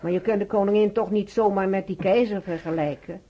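Actress as husky, chatty, opinionated woman. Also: mid-range voice, fairly even intonation, a little fast, lightly acted. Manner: chatty, insistent, self-assured. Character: chatty, opinionated female hospital roommate, prying and proud. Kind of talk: radio drama dialogue. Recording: slightly dull radio play recording.

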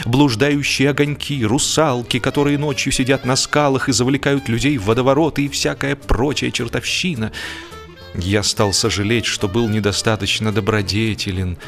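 A man narrating a humorous literary story as an audiobook reader, with expressive delivery. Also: medium pitch. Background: music behind the voice.

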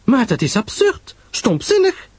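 A man's voice: very high voice, wildly swooping pitch, a little slow, breathy edge, dry recording, voice pushed up, exaggerated voice. A man voicing an emphatic, reproachful young society woman in a mystery audio drama. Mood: indignant. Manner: emphatic, exclaiming.

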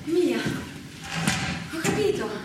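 A woman's voice: very high-pitched, expressive, measured pace. A woman playing a trusting, devoted young noble wife who stays earnestly in denial, in a stage drama. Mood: worried, reasoning. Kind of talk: live stage-style Shakespeare drama acting in Italian. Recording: noisy, echoey hall, distant microphone.

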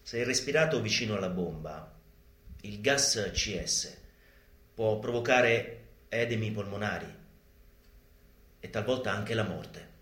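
A man performing a satirical stage monologue in an ironic, emphatic manner with dramatic pauses. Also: lowish voice, lively intonation, fairly bright tone, reverberant. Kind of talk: theatrical satirical monologue in a live show. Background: live guitar music.

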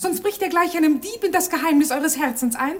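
A woman's voice: high-pitched, animated, brisk. An actress playing a scheming, passionate lady-in-waiting in a German radio play, with an intense theatrical delivery. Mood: urging, insistent.

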